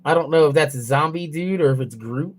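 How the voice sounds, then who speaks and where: A man's voice: on the high side, brisk. A young man streaming from his phone, rambling loosely and swearing heavily as he chats with viewers. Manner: loose, rambling, heavy swearing, laughing at own jokes. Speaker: livestreamer. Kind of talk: casual profane stream chatter. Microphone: mobile phone.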